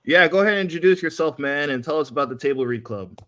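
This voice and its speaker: A man's voice: on the high side, lively intonation, quick pace, a bit bright. Casual, slangy male podcast host. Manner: slangy, hype-man phrasing. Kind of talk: casual group chat.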